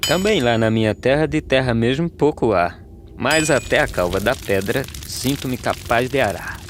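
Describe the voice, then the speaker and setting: An actor with a Brazilian accent playing a weary, humble, earnest migrant farm labourer in an animated verse drama. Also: male voice, mid-range voice, moderate pace, slight background noise, lightly acted. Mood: earnest, hopeful. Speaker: narrator and lead character of animated verse drama. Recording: music under the voice.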